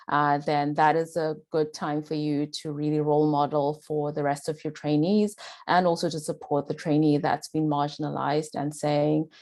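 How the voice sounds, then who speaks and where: A woman's voice: deep voice, a little mellow. A woman with a Zimbabwean British accent giving a faculty presentation, speaking earnestly with frequent "uh" and "um". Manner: earnest, filler-heavy delivery with frequent "uh" and "um".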